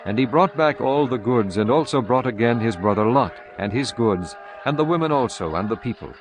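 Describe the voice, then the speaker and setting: A man reading scripture aloud as a narrator, slow, measured and solemn. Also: mid-range voice, expressive, background noise.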